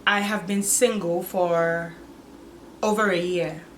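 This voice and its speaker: A woman in her twenties talking candidly to her channel, musing aloud and filling pauses with "like" and "you get it?". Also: lowish voice, fairly even intonation, fairly bright tone, slight background noise. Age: 20s. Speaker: vlogger. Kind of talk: casual confessional vlog.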